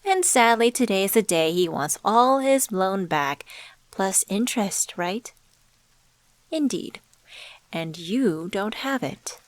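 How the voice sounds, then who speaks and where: A woman playing an enigmatic, suave stranger in a scripted ASMR roleplay, speaking to the listener in a measured, teasing, condescending and knowing way. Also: mid-range voice, lively intonation, dry recording. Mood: smug, taunting. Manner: measured, teasing, rhetorical questions, condescending endearments.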